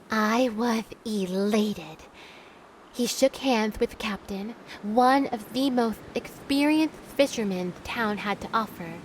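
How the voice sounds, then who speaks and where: A bitter young woman who longs to roam the sea, telling her own tale in an audio drama. Her voice is fairly high and very unhurried, with theatrical weight on her words. A faint bed of noise sits behind her. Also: poor audio. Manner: deliberate, theatrical storytelling. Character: bitter, adventurous young seafaring woman recounting her youth. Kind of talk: scripted dramatic monologue narration.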